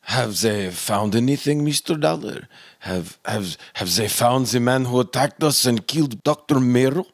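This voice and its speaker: An actor playing a nervous, evasive professor in a radio drama, formal and prone to stammering. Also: male voice, medium pitch, moderate pace, no echo, clean studio sound, close to an everyday voice. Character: nervous, evasive male professor under suspicion. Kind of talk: old-time radio drama acting.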